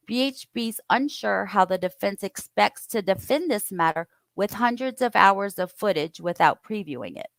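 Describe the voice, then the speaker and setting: A woman hosting a casual online show, reading court filings aloud in a steady, careful way with side comments. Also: mid-range voice, no echo. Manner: steady, careful reading with occasional stumbles and asides. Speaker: host reading court documents.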